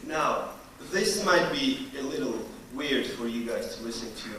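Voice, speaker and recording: A man from Georgia with a Georgian accent, a non-native English speaker doing a deliberate, self-deprecating stand-up comedy routine. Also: medium pitch, lively intonation. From Georgia (the country). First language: not English. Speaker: stand-up comedian, teaching assistant. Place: live stage performance in university room. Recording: echoey room, distant mic, audience noise.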